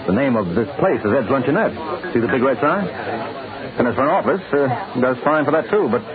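An actor playing a breezy, wisecracking, genial detective in a 1940s radio drama. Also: male voice, medium pitch, expressive, moderate pace, natural voice. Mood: jovial, teasing. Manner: jokey, mock-courteous. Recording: tinny old radio recording, crowd murmur.

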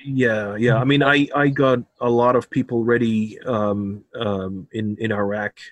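A man with an American accent, a bodybuilding coach talking as a podcast guest in a relaxed way, laughing often and repeating words. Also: mid-range voice, a little slow, no room sound. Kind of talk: casual podcast interview.

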